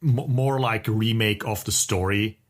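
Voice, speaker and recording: A man's voice: fairly low pitch, steady tone, moderate pace. A man co-hosting a podcast in casual conversation, with an opinionated, wry way of talking. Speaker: podcast co-host.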